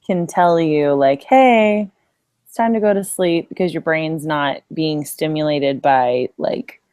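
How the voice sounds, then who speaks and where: A woman's voice: deep voice, slow, a little mellow, clean tone, no room sound, clean studio sound. A woman talking casually as a panelist on a podcast. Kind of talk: casual chat.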